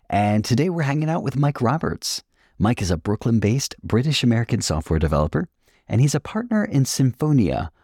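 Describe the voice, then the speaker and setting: A man's voice: on the low side, darkish tone, husky, no room sound, studio quality. A man hosting a podcast interview in a polished, presenterly style. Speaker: podcast host.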